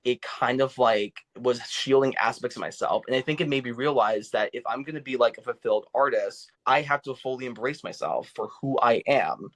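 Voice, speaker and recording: A man's voice: medium pitch, a bit bright. A young man, a podcast guest and actor, talking casually with many fillers and restarts. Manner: halting, full of "like" fillers and restarts. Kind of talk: casual interview talk.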